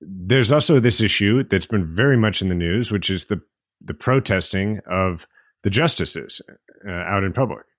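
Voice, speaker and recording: Deep-voiced podcast co-host, dry sound. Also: male voice, expressive, moderate pace, dry recording. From middle of the US. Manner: reflective, hesitant, many fillers. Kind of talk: casual discussion.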